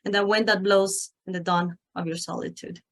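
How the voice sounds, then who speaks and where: A woman poet reading her verse aloud in a lyrical, deliberate way. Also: medium pitch, fairly even intonation. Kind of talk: bilingual poetry reading.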